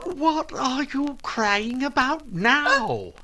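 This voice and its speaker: Older radio-play husband, played by a man, weary and dry, slow delivery with lively exasperated swings, faint noise. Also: extremely high pitch, heavily acted. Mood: weary exasperation. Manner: dry, weary, long-suffering. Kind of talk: 1940s radio comedy acting.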